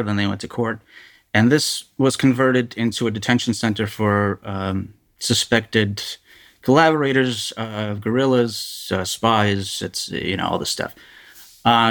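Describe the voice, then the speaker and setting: A man being interviewed as a journalist, giving a candid first-person account in a halting, hesitant way. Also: lowish voice.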